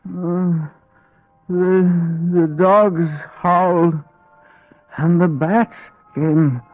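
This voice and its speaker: An actor playing a battered, dying madman in old-time radio horror style, halting, fevered and gasping. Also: sits high, fairly even intonation. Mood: weak, haunted. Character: battered, dying madman, raving servant of a vampire. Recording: muffled vintage radio, phone-like, music behind.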